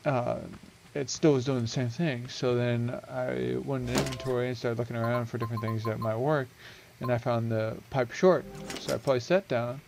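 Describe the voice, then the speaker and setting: A young man talks through his game creation in a loose, rambling way, trailing off and restarting with frequent ums. His mid-pitched voice has a gravelly fry to it. He speaks into a headset at home, with a faint hiss underneath. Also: fairly even intonation, moderate pace, few pauses. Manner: rambling, self-correcting, chatty with many ums. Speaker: gaming video creator. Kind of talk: casual game build walkthrough.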